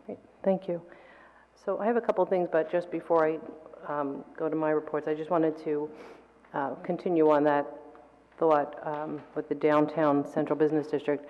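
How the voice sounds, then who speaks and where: A woman council member with a sore throat gives her reports at a council meeting, speaking in a measured way with fillers and throat clearing. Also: low voice, flat delivery. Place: council chamber. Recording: dull reverberant council chamber audio.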